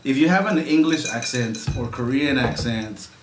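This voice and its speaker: A man from Mexico hosting a podcast, talking in an animated, chatty way and laughing easily. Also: medium pitch, normal speed, a crowd in the background. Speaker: podcast host. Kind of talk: casual bilingual conversation. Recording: roomy echo.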